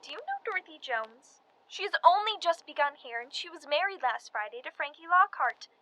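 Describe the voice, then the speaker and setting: A woman's voice: high voice, sing-song, normal speed, pushed and bright, full character voice, rough recording. A young woman playing a chatty but weary and frightened college student from 1918 in a radio play. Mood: gossipy, amused. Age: young adult. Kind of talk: dramatized letter monologue, period radio play.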